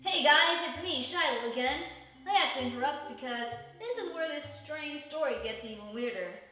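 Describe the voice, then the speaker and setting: A woman's voice: sits high, expressive, normal speed, pushed and bright, a little hiss or hum. A woman voicing a chatty, bemused young boy who confides in the listener in a children's storybook. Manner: conversational, confiding asides. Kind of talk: children's storybook character reading. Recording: echoey room, distant mic.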